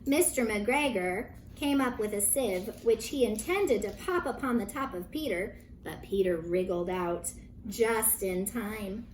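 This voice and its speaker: A woman teacher reading a children's story aloud with animated emphasis, dramatic pauses and brief asides to her young listeners. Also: a little high, slight background noise.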